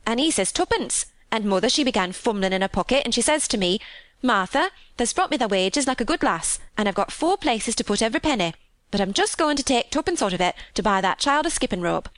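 A woman's voice: a little high, expressive, a little fast, fairly bright tone, no echo, voice pushed up. A woman voicing a cheerful young Yorkshire housemaid who chatters eagerly in folksy dialect for a storybook. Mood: proud, eager.

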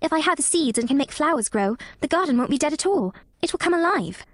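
A woman's voice: high voice, lively intonation, a little fast, no room sound, clean studio sound, voice pushed up. A woman voicing an earnest, eager and curious little girl in a storybook reading. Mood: eager, hopeful.

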